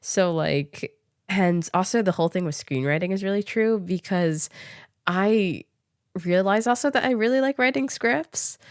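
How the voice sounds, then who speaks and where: A young woman hosts a casual podcast conversation. Her medium-pitched voice is giggly and animated, full of "like" as she talks. The sound is dry, with very little room in it. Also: a little slow, clean tone, dry recording. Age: young adult. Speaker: podcast host. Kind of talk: casual conversational interview chat.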